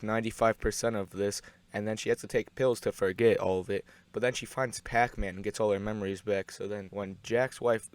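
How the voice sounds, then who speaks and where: A man giving casual video essay commentary in an offhand, rambling way, with deadpan humor. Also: lowish voice, no room sound. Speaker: video host.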